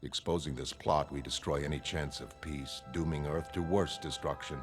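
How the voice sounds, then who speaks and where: A man voicing a coldly logical, godlike male superbeing in a motion comic, calm and emotionless. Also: very deep voice, moderate pace, over music. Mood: cold, resigned.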